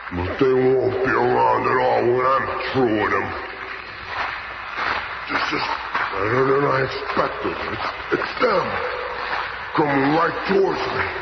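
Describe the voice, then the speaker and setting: A gravelly brute of a monster, menacing and angry, in an old radio play. He declaims his threats at a crawling pace. The recording is muffled and buried in heavy noise. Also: medium pitch, animated, unhurried, pauses often, echoey room. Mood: brooding. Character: huge brutish male monster, angry, menacing, brooding. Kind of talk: 1970s radio drama acting.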